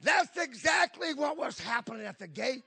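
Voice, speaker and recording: A man's voice: very high-pitched, big pitch swings, moderate pace, gravelly, sharp tone, husky. A man in his fifties preaching a Pentecostal sermon, emphatic, with frequent call-and-response asides to the congregation. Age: 50s.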